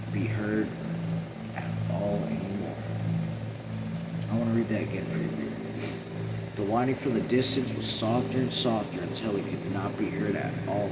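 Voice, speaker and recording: Halting male reader over loud noise. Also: fairly low pitch. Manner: halting, rereads and corrects himself. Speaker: amateur reader. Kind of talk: novel read-aloud.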